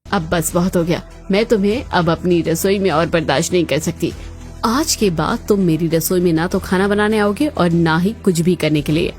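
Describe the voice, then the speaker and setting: A woman's voice: on the low side, moderate pace, darkish tone, faint music behind, lightly acted. A woman playing a selfish, bossy elder daughter-in-law in a cartoon story. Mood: angry, scolding.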